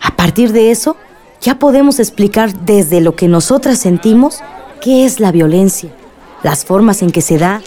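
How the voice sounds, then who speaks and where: Woman acting mellow godmother leading a workshop. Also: medium pitch, lively intonation, normal speed, some chatter behind, natural voice. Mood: earnest, encouraging. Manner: organizing, earnest. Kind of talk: radio drama acting.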